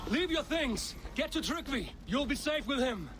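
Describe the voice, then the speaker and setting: An actor playing a determined Viking warrior rescuing villagers in a video game. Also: male voice, extremely high pitch, big pitch swings, moderate pace, background noise. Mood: urgent shouting.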